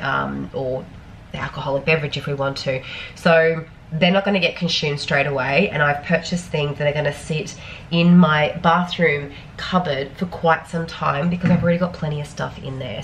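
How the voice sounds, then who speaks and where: Australian woman, 30s, chatty vlogger, a bit sniffly and hoarse, low-pitched, faint background hum, filmed in a home kitchen. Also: low-quality recording. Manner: chatty, rambling, frequent ums. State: sniffly, losing her voice. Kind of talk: casual grocery haul vlog.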